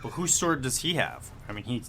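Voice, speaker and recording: A man's voice: medium pitch, huge pitch swings, a little fast, vocal fry, sharp tone, soft background music. A man co-hosting a casual podcast chat, speaking in an informal, slangy, sometimes profane way. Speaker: podcast co-host. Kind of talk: casual chat about manga. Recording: noticeable background noise.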